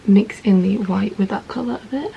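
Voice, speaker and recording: A woman with a croaky, gravelly voice talks through a makeup look one step at a time. She sounds calm and methodical, and her pitch sits in the middle range. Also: moderate pace, dull audio, noisy background. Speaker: makeup vlogger.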